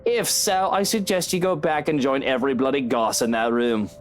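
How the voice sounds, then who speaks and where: A man's voice: medium pitch, normal speed, soft background music, natural voice. A man voicing a sardonic, brooding cheating husband in a visual novel game, with a sarcastic, dismissive manner. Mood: dry sarcasm. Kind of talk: dramatic visual novel voice acting.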